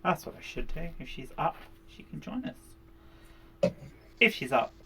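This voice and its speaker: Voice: mid-range voice, big pitch swings, a little slow, vocal fry, pushed and bright. Chatty livestreamer. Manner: chatty, laughs often. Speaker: livestream host. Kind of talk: casual chat on a livestream.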